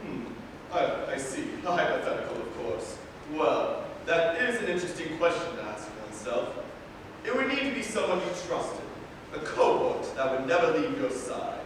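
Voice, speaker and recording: A man's voice: on the high side, sing-song, moderate pace. A man playing a pompous, protective king and father in a staged play reading, speaking formally and dramatically. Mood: fatherly. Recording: echoey hall, distant mic, background noise.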